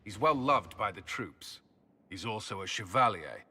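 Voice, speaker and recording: An actor playing a serious, matter-of-fact military commander in a video game, crisp and businesslike. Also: male voice, fairly low pitch, animated, measured pace, bright, forceful tone, full character voice. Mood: serious briefing.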